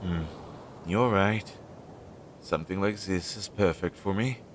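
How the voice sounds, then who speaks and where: Man voicing an anxious crow familiar, low and slow. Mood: reassured, earnest. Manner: hesitant, chatty, earnest, thinking aloud. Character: anxious, earnest, loyal male crow familiar of a witch. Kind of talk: roleplay audio drama, intimate one-sided dialogue. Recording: noisy low-quality amateur recording.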